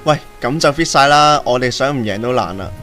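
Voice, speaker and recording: A man's voice: mid-range voice, animated, a little fast, a bit bright, faint music behind, close to an everyday voice. A man playing a chatty, persuasive teenage teammate with a Hong Kong Cantonese accent in an amateur radio drama. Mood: coaxing. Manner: slangy, coaxing.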